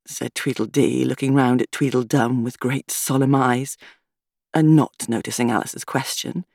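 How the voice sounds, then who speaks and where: A woman reading a children's story aloud in an expressive narrating style. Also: a bass voice, moderate pace, creaky, dry recording, studio quality. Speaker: volunteer audiobook reader.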